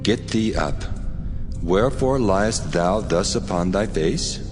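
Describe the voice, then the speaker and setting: Man voicing deep, stern God in cavernous reverb. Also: lively intonation, slow pace. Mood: stern rebuke. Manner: authoritative, solemn. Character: the Lord God, stern commanding male deity. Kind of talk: dramatized scripture reading in archaic English. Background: music.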